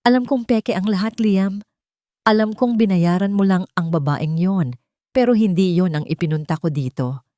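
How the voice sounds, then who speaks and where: A woman's voice: medium pitch, dark tone, dry recording, studio quality, close to an everyday voice. A woman voicing a menacing, scheming rich aunt in a dramatic audio love story, taunting and theatrical. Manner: mocking, taunting, slow theatrical delivery.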